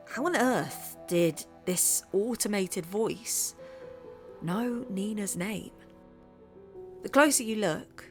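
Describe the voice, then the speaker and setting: Woman narrator, English accent, conspiratorial, low, faint music. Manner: hushed, conspiratorial storytelling. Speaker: podcast host and narrator. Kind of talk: scripted narration.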